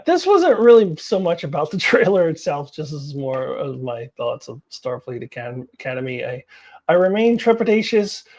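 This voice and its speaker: Woman vlogger w a lowish voice, chatty casual opinions, kinda thinking out loud. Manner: chatty, thinking aloud, laughs through remarks. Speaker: host of a fan commentary vlog.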